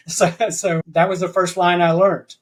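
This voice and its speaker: A man's voice: sits high, level tone, slow pace. A man talking as a podcast guest in a rambling way, often restarting his sentences. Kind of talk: casual interview chat.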